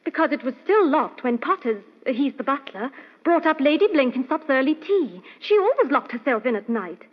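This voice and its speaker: Young woman, high-pitched, genteel, earnest lady's companion, flustered, old-time radio drama, muffled recording. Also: sing-song, brisk. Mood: anxious, explaining.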